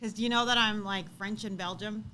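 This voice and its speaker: A chatty, animated woman co-hosting a live stream, bantering casually and teasingly with viewers. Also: mid-range voice, fairly quick, poor audio.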